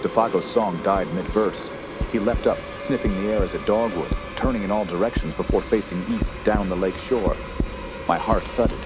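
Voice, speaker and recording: A man's voice: fairly low pitch, normal speed, lightly acted. Man acting a solemn, fearful wilderness narrator, music behind. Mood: tense, alarmed. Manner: literary, solemn, dramatic phrasing. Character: earnest, fearful gentleman camper recounting a wilderness horror. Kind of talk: radio drama narration and dialogue.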